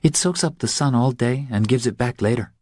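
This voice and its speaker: A man's voice: mid-range voice, a little fast, darkish tone, dry recording, clean studio sound, pitched above the performer's own voice, close to an everyday voice. A man voicing a weary young mother who explains things in short, clipped sentences in an audiobook story. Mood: matter-of-fact.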